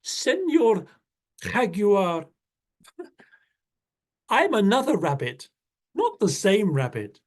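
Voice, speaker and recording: Small sly rabbit, very high and squeaky, fast pleading chatter, put on by a storyteller. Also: very animated, a little mellow, exaggerated voice. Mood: wheedling. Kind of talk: bilingual children's storytelling.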